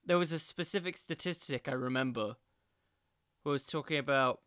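A man hosting a solo podcast, giving conversational commentary in a musing, halting way with emphatic bursts. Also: on the high side, fairly bright tone, clean tone, no echo, studio quality. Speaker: podcast host.

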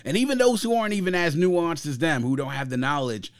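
A man's voice: a little high, fast talker, clean tone. A man hosting an album review, giving reflective, opinionated commentary in a conversational way and explaining his points at length. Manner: reflective, explains through extended analogies. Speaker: host of an album review discussion.

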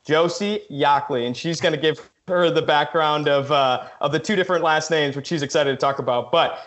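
A man's voice: fairly high pitch, moderate pace, fairly bright tone. Enthusiastic male podcast host. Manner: enthusiastic, effusive. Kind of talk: podcast interview, casual introduction.

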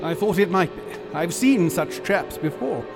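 A man playing a composed, commanding gentleman expedition leader in a radio drama, precise and authoritative. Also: high-pitched, lively intonation, moderate pace, over music. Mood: focused. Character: composed, commanding British knight leading an archaeological survey. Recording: long echoing reverb.

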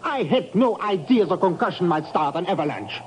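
Haughty mountaineer, higher-pitched voice. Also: male voice, animated, normal speed. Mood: indignant, defensive. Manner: formal, ornate, coolly superior. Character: haughty, evasive male European mountaineering expert. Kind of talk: 1950s radio drama acting. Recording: faintly noisy 1950s radio recording.

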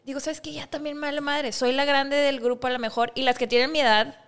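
A woman's voice: high-pitched, flat delivery, fast, fairly bright tone. A woman of about forty with a northern Mexican accent, talking candidly and emphatically in slangy, casual podcast conversation. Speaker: musician, podcast guest.

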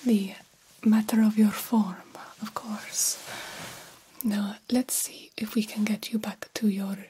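A woman in an ASMR roleplay voicing a formal, reassuring, slightly vain female vampire doctor in a gentle, breathy whisper. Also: mid-range voice, flat delivery. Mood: soothing, solemn. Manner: slow, formal, measured, gently encouraging.

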